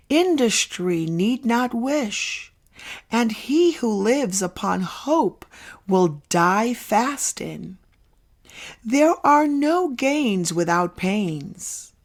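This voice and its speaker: A woman narrating an old essay as a scripted audiobook, with deliberate, emphatic phrasing and frequent pauses. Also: fairly high pitch. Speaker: narrator reading an essay aloud.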